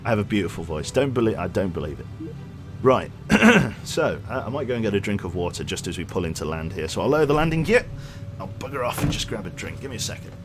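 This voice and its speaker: Guy streaming a game, kinda hoarse, swears a lot, bouncy pitch. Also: fast talker, soft background music. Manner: excitable, swears casually, talks to crew. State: losing his voice. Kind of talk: gameplay commentary.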